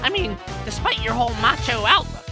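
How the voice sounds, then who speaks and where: A woman's voice: a little high, lively intonation, a little fast, over music. A woman voicing a young male monkey sidekick, cheeky and chatty, in a cartoon audio drama.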